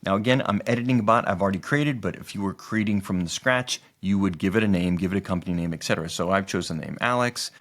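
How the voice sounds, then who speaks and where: A man narrating a step-by-step software tutorial as its host, explaining each part in an instructional way. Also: low voice.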